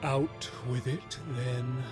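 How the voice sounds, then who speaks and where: A man's voice: medium pitch, steady tone, drawn-out words, music in the background. A man voicing a stern, commanding god-king in a video game cutscene, grave and demanding. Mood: stern, reproachful.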